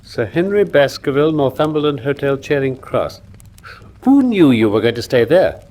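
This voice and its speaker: Incisive English gentleman detective, played by a man, lively intonation. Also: medium pitch, normal speed, natural voice. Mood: probing. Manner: probing, crisp questioning, formal. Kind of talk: radio drama acting.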